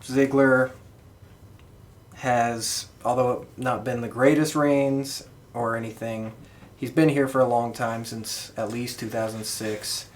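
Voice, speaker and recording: A man's voice: mid-range voice, fairly even intonation, slow. A man co-hosting a podcast in casual, conversational discussion. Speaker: podcast co-host.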